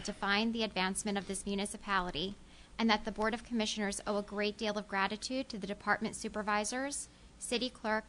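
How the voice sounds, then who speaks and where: A woman's voice: mid-range voice, fairly bright tone, slight background noise. A young woman commissioner reading prepared, formal holiday thanks at a desk microphone in a council chamber. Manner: formal, measured. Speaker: city commissioner. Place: council chamber, seated at dais.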